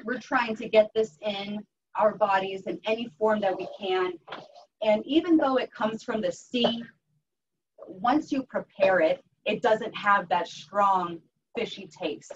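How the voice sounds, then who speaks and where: A woman's voice: medium pitch, measured pace. Woman, 30s, Western Pennsylvania accent, upbeat cooking-class coach, ums and restarts, dull lo-fi sound. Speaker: health coach doing a cooking demo. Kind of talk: live cooking class talk. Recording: distant mic, dull sound, faint noise.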